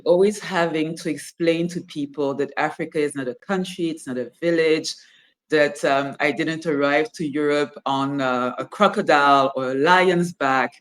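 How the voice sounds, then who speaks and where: A woman from Cameroon in her forties, a fashion brand founder talking reflectively about her life in an interview. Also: sits low. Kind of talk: interview conversation.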